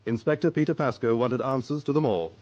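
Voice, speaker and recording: A man's voice: medium pitch, a little fast, darkish tone, no echo, lo-fi sound. A man giving a scripted introduction to a radio drama as announcer.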